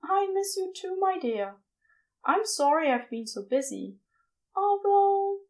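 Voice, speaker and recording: A woman raises her voice to play a prim, fussy male angel, high and fluttering. He scolds with genteel exasperation, and his voice rises and falls with lively fussiness. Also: slow pace, heavily acted. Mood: fond, coy. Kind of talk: podfic character voice.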